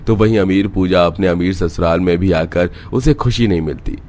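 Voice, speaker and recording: A man's voice: sits low, normal speed, darkish tone, slight background noise. A man reading scripted narration for a cartoon story. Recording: music playing behind the voice. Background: background music.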